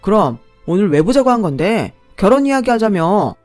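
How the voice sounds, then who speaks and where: A woman's voice: low voice, very animated, unhurried, faint music behind. A woman voicing a spineless young man who whines and gets defensive. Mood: irritated. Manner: whiny and defensive. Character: spineless young man, mama's boy fiancé.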